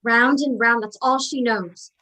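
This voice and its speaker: Actress as a high-voiced, wounded college-bound daughter. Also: female voice, fairly even intonation, measured pace, bright, forceful tone, rough recording. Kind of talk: staged play reading.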